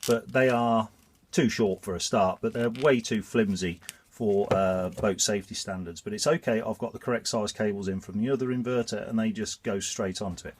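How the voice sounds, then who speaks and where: A man's voice: lowish voice, normal speed, a little hiss or hum. A man with a blocked nose from a cold, chatting through a step-by-step DIY job on his narrowboat. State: congested with a cold. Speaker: vlogger. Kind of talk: casual DIY vlog.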